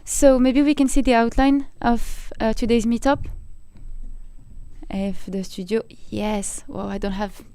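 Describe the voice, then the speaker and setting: A woman's voice: on the high side, fairly even intonation, measured pace, mellow, clean tone, no echo. A woman from Morocco, co-hosting a meetup livestream in a chatty way, restarting phrases as she talks. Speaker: co-host of a company meetup livestream. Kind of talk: casual chat then outlining the event.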